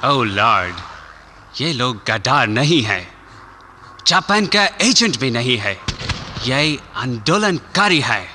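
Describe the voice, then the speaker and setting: Slow, bright-voiced army officer. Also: male voice, mid-range voice, very animated, slight background noise, full character voice. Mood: grudging admiration. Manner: broken Hindi grammar, slow declaiming. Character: British army officer, stern, grudgingly admiring his captives. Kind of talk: radio play acting.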